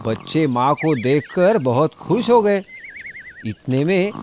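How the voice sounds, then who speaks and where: A man narrating a scripted children's story in an expressive, dramatic storytelling manner. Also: fairly high pitch, noisy background.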